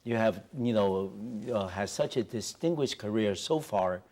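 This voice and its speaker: A man's voice: on the low side, lively intonation, unhurried, a little mellow. A middle-aged man hosting a TV interview show in a studio, polite and leaning on "you know" fillers, on a lapel mic. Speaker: TV talk show host.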